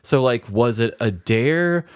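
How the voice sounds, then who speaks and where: A man's voice: mid-range voice, animated, takes it slowly, clean tone, dry recording, clean studio sound. A man co-hosting a podcast, telling odd crime stories in casual, conversational, rambling comedic chat. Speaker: podcast co-host.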